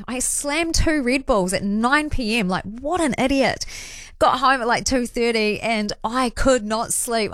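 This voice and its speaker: A female radio host, hoarse, chatting casually and poking fun at herself. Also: medium pitch, fairly bright tone, no room sound, clean studio sound. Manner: chatty, self-mocking.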